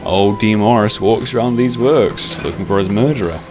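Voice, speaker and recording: A man's voice: on the low side, moderate pace, music in the background, natural voice. A man voicing a cheerful, offhand workman in a children's story, casually dismissive of danger. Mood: amused, offhand. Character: cheerful, breezy workman telling ghost gossip.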